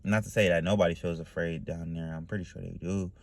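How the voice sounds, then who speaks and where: A man's voice: deep voice, steady tone, quick pace, creaky, darkish tone. A man from Ohio talking to camera in a loose, meandering way, full of fillers and "you know what I mean.". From Dayton, Ohio, US. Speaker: vlogger. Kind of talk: casual rambling monologue.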